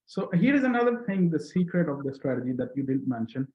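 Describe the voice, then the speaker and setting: A man who speaks English as a non-native language, telling his coaching results earnestly with halting restarts. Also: fairly high pitch, big pitch swings. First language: not English. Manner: earnest, halting restarts, rising on key numbers. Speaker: coaching client, interview guest. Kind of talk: testimonial video call chat. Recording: echoey room over a tinny call line.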